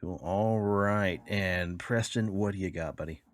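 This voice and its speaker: A man's voice: low voice, a little slow. A man with an American accent hosting a casual comedy podcast. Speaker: podcast host.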